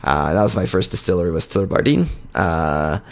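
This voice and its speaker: Man, somewhat low voice, rambling casual podcast chat, halting storyteller. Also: lively intonation. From born in Poland, raised in Canada. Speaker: podcast co-host.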